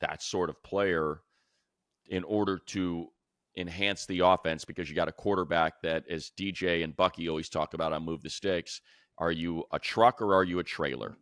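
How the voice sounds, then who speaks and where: A man's voice: low voice, fairly even intonation, normal speed, a bit bright, no echo, studio quality. A man co-hosting a sports show, giving unscripted analysis and repeating phrases for emphasis. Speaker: sports show co-host and commentator.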